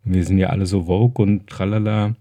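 A man's voice: low voice, flat delivery, normal speed, dark tone, dry recording. A man co-hosting a casual podcast, talking in a wry, hesitant way as he searches for words. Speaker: podcast co-host.

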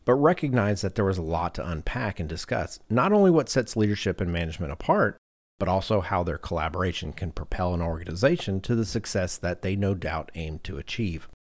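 A man's voice: low-pitched, animated, a little fast. A man hosting a podcast in casual conversation, telling anecdotes in a self-deprecating way. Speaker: podcast host.

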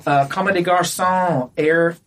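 Male podcast guest, slightly high voice, matter-of-fact and conversational, sitting a little back from the mic. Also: slow, far from the mic, noisy background. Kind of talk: casual sneaker chat. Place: podcast studio, indoors.

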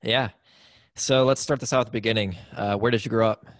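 A man hosting a podcast, reading a measured introduction and asking interview questions. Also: fairly low pitch, steady tone, no echo, studio quality. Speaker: podcast host.

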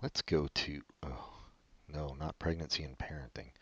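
A man hosting a casual comedy chat, reading online questions aloud and answering with deadpan sarcasm. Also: normal speed, slightly rough, dry recording. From California. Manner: sarcastic, deadpan jokes with sudden pitch jumps. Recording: dull, muffled lo-fi audio.

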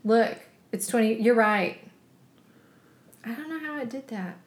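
A woman's voice: on the high side, lively intonation, unhurried. A woman co-hosting a podcast with casual, chatty talk, laughing easily and poking fun at herself. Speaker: podcast co-host, chef. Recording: roomy echo.

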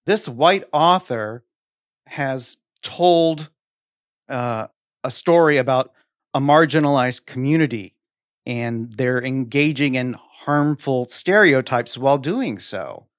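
A man's voice: mid-range voice, expressive, a little slow, no room sound. A man with a mid-range voice speaks as a podcast co-host. His delivery is conversational, as in a panel discussion. The recording is dry and free of room sound.

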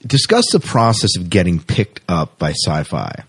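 A man's voice: sits low, wildly swooping pitch, moderate pace, husky. A man hosting a podcast, asking questions in a casual, chatty interview style. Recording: tinny phone-like audio.

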